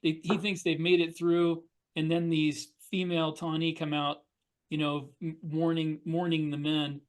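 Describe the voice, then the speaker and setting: A man's voice: on the high side, flat delivery, unhurried. A man co-hosting a podcast, talking in a casual panel discussion. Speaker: podcast co-host.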